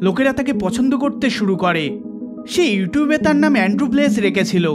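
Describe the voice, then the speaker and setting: A man's voice: extremely high pitch, expressive, fairly quick. A man narrating a scripted documentary in a dramatic storytelling manner. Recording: loud music behind the voice. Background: loud background music.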